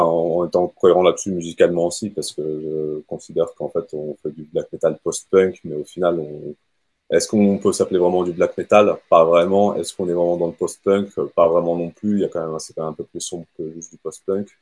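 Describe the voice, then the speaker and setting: A man's voice: sits low, little pitch movement, a little fast, a little mellow, husky. A man from Kazakhstan, a native Russian speaker, answering interview questions about his band's songs, thoughtful and hesitant with many fillers. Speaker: band musician.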